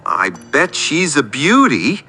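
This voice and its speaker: A man's voice: a little high, wildly swooping pitch, slow, bright, forceful tone, a little hiss or hum, full character voice. A man playing an eager, fawning tradesman who calls a radio doctor, earnest, rambling and oversharing in a comic radio play. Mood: rambling, confiding.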